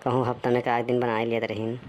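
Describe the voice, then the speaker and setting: A man plays a long-suffering village husband in a cartoon. He talks in exclamatory, theatrical Awadhi at an average pitch. The recording has clear noise behind the voice. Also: monotone, fast, close to an everyday voice. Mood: resigned. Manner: exclamatory, theatrical rustic talk. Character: put-upon village husband, grumbling and melodramatic. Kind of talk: cartoon comedy dialogue.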